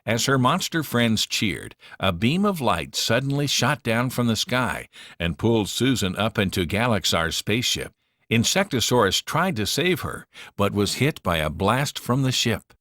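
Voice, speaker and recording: A man narrating a children's storybook in an expressive, dramatic storytelling manner. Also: on the low side, normal speed, no room sound, clean studio sound.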